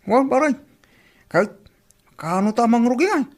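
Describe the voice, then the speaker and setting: A man's voice: extremely high pitch, lively intonation, measured pace, full character voice. A man acting a friendly village drinking buddy in an Ilocano comedy radio drama, with a Filipino Ilocano accent. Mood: friendly, insistent.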